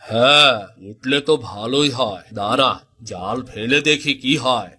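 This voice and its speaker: A man voicing an honest, contented fisherman father in a cartoon, measured and moralizing. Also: mid-range voice, pushed and bright. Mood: hopeful, fatherly. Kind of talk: Bengali cartoon voice acting.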